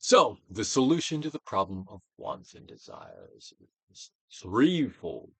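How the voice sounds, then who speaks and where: A man giving a dramatic, emphatic audiobook reading of a self-help book, moving between loud declarations and hushed phrases. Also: lowish voice, huge pitch swings, unhurried, vocal fry, husky, dry recording. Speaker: actor reading a book aloud.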